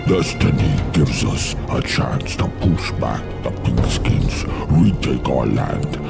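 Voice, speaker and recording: Game troll warlord: proud and grim, extremely gravelly, slow solemn delivery, loud score underneath. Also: male voice, a bass voice, heavily acted. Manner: solemn, non-standard folksy grammar. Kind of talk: video game cutscene acting.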